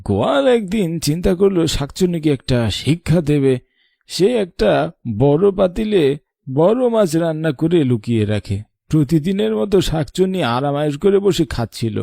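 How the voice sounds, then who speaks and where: Casting: male actor as weary, protective old grandfather for a cartoon; mellow, creaky voice, sharp tongue, soft heart. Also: fairly high pitch, animated, moderate pace, dry recording. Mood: storytelling. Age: older. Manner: scolding, then tender storytelling. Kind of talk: cartoon voice acting.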